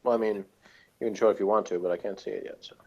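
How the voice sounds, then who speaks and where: A man's voice: fairly low pitch, steady tone, racing, vocal fry, a little mellow, husky, lo-fi sound. A man chatting casually as a guest on an online call, with dry, teasing banter. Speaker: guest co-host. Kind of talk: casual chat. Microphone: online video call.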